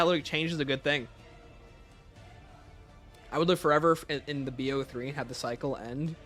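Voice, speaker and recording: A man's voice: on the high side, bright, forceful tone. A man hosting a livestream, chatting casually as he takes viewer questions and answers them offhand. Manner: reads questions aloud, then answers offhand. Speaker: livestream host.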